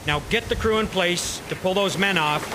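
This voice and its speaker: Over a clear rush of sea noise comes a resolute freighter captain, acted by a man with a high voice. He is daring and commanding, a figure from a stormy historical audio drama. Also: close to an everyday voice. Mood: grim resolve. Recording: loud rushing sea and wind noise.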